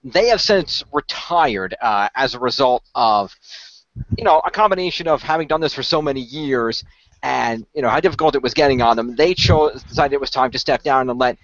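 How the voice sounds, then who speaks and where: A man improvising in-character dialogue in a role-play, explaining things in a halting way. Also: medium pitch, animated, a bit bright, no room sound. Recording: dry, dull lo-fi audio.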